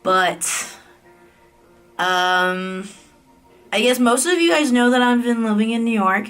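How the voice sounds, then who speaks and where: A woman's voice: mid-range voice, a bit bright. Chatty American woman in her late twenties. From United States. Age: late 20s. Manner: chatty and candid, with frequent fillers and self-corrections. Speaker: vlogger. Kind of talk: casual vlog update. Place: office private room at night.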